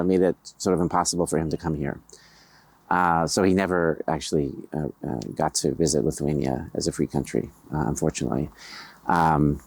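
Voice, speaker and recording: A middle-aged American man, a writer, answering interview questions in a measured, hesitant way with many pauses and fillers. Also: low voice, no room sound. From United States.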